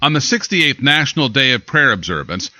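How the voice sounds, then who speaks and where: An American man reading scripted news as an anchor, with an emphatic, deliberate newsreader delivery. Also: mid-range voice, very animated, sharp tone, no room sound.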